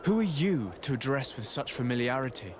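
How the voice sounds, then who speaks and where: A man's voice: mid-range voice, big pitch swings, a little fast, a little hiss or hum, close to an everyday voice. An actor playing a composed young nobleman diplomat in a video game, speaking in a polite, formal, articulate way. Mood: firm, diplomatic.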